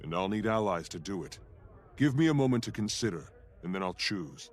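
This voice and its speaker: A man's voice: lowish voice, expressive, brisk. An actor playing a bold, resolute male warrior hero in a fantasy video game, assured and straightforward. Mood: resolute, friendly.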